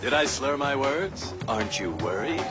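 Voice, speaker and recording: An actor playing a slick, showy, bloodthirsty race announcer in a video game, smarmy and theatrical. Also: male voice, mid-range voice, big pitch swings, normal speed, loud music behind the voice, lightly acted. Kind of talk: hyped sports broadcast commentary in a video game.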